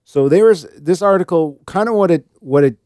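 A man's voice: on the high side, a little fast, mellow, no room sound, clean studio sound. A middle-aged man co-hosting a casual podcast, with a wry, joking way of talking. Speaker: podcast co-host.

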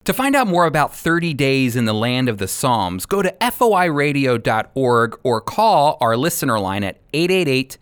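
A man hosting a radio program and teaching the Bible in an emphatic, deliberate way. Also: mid-range voice, very animated, a bit bright, clear, resonant tone.

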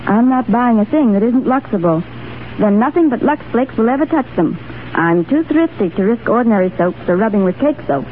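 A woman's voice: fairly high pitch, animated, a little fast, natural voice. An actress playing a chirpy, thrifty young woman in a 1930s radio commercial, gushing in a salesy way. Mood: cheerful, gushing. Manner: bright gushing salesy chatter. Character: chirpy, thrifty young sister gushing about her shopping. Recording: tinny, hissy 1930s radio recording.

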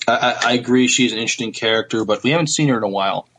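A man co-hosting a podcast in casual chat, halting and searching for his words. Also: medium pitch, fairly bright tone, slight background noise. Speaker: podcast co-host.

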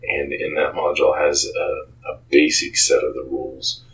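A man talking as an interview guest about his creative work, in a halting, hesitant manner. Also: rumbling low, level tone, far from the mic, low-quality recording. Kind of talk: interview talk.

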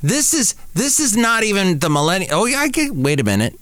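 A man's voice: high voice, very animated, brisk, fairly bright tone, dry recording. A middle-aged man hosting a podcast, rambling casually and playfully interrupting himself. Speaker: podcast host. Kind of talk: casual solo podcast commentary.

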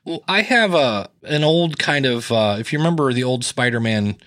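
A man guesting on a podcast interview, telling stories from his own life in a casual, animated way and jumping up in pitch when he quotes people. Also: normal speed, no room sound, studio quality. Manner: storytelling, animated with rising pitch in quoted lines.

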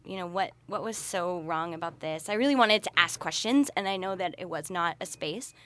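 A woman's voice: lowish voice, a little fast, fairly bright tone. A woman being interviewed casually as a podcast guest, open, articulate and matter-of-fact about personal experiences. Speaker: podcast guest, researcher who runs parties.

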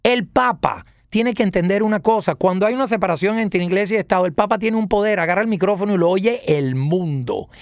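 High-pitched, emphatic Venezuelan man. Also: a little fast, hardly any pauses, dry recording, studio quality. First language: Italian. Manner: emphatic, rhetorical questions, repeats phrases. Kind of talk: political rant in conversation.

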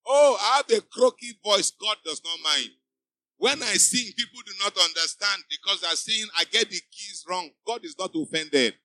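Pastor guy preaching at church, high voice, super bright, lots of repeated punchy lines. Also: lively intonation, moderate pace, no echo. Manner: exhorting, emphatic preaching with repeated refrains. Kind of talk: sermon. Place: church before a live congregation.

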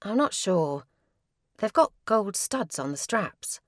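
Woman playing a cautious female friend, lively pitch. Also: slow pace, no echo, studio quality. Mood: hesitant. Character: Lizzie, conservative, hesitant young woman, narrator's old school friend.

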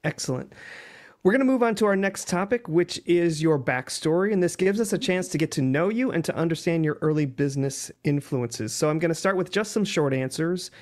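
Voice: medium pitch, expressive, fairly quick, a little mellow. Probing interviewer, pointed questions. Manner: poses pointed follow-up questions.

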